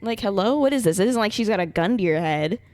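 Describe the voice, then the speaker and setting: Playful woman livestreamer reacting out loud. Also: mid-range voice, lively intonation, fast talker. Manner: playful, exclamatory, swears freely. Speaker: game livestreamer. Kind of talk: casual live reaction commentary. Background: game music.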